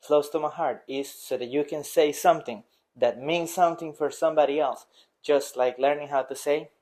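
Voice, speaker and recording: A man's voice: fairly high pitch, darkish tone. A man from Rica, a teacher giving a rambling, earnest motivational talk in English to his viewers. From Costa Rica. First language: Spanish. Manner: rambling, earnest, with many restarts and fillers. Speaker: Spanish teacher. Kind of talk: casual motivational talk to camera.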